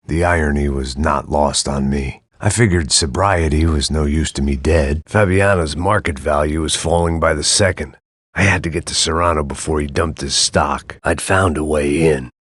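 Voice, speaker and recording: An actor voicing a jaded, self-loathing middle-aged antihero in weary, sardonic, deadpan video game noir narration, with a gravelly voice. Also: male voice, extremely low pitch, moderate pace, dry recording, full character voice. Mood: wry, brooding. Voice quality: gravelly, husky.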